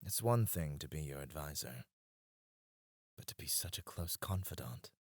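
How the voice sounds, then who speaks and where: A man voice acting a scheming, devoted royal advisor in an ASMR roleplay, soft-spoken and teasing, dropping to a hush when he addresses his queen. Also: very deep voice, animated, normal speed, dry recording, studio quality, full character voice. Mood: teasing, confiding. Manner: teasing and confiding. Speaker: voice actor.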